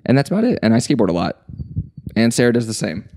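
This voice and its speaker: A man's voice: mid-range voice, sing-song, quick pace, warm tone, slight background noise. A man chatting as a podcast guest, joking and playful. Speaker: guest, skateboarder and YouTuber. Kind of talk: casual podcast chat.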